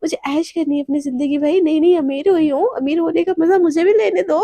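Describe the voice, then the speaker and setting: Playful, jealous young wife for audio story played by a woman, high, mellow, teasing. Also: fast, no room sound. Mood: protesting. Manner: teasing, chattering, wheedling.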